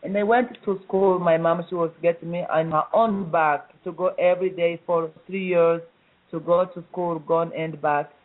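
A middle-aged woman from DR Congo with a Congolese accent. She is a guest in an interview, answering in simple, halting phrases with frequent pauses. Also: on the low side, steady tone. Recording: tinny phone line.

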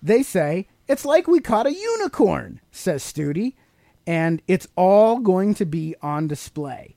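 Man, animated pitch, mellow tone, teacherly podcast co-host, simple explanations, casual. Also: takes it slowly, vocal fry, no echo, clean studio sound. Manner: teacherly, asks leading check questions. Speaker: podcast co-host and father explaining to his child. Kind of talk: casual explanatory talk with a child.

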